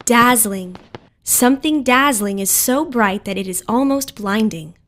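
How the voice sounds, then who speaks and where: A woman's voice: on the high side, unhurried, fairly bright tone. A woman reading vocabulary words, definitions and example sentences from a script for learners. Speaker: vocabulary narrator.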